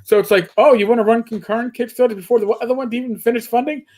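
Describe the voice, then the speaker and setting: A man's voice: very high voice, steady tone, quick pace, darkish tone. A middle-aged man chatting casually as a livestream host, telling stories in a rambling way.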